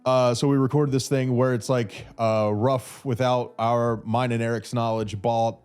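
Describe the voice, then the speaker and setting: A man's voice: medium pitch, level tone, a little slow, a little mellow, smooth. A man in his thirties from North Carolina hosting a podcast, answering listener questions in a casual, rambling way with lots of fillers, a little stuffy from a cold. He talks into a studio mic. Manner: rambling, many fillers and restarts. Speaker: podcast host. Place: small room with brick wall, seated in armchair. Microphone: red studio mic on boom arm.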